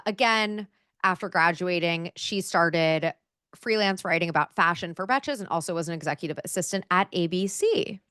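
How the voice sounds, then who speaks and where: A woman's voice: fairly low pitch, fairly bright tone, no room sound, clean studio sound. A woman in her thirties co-hosting a podcast, chatting casually and reading through research notes with plenty of "um" and "like". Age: 30s. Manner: chatty, filler-heavy, with frequent "um" and "like". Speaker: podcast co-host.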